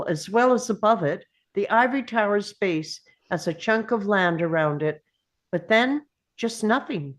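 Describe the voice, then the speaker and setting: A woman reading stage directions as scripted narration at a table read. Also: mid-range voice.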